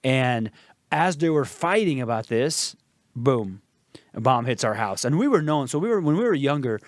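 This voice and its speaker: A man's voice: medium pitch, normal speed, no echo, studio quality. Afghan-born man telling his story. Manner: relaxed, repeats phrases and fillers, occasional laughs. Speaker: guest. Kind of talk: casual storytelling interview. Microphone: podcast studio mic.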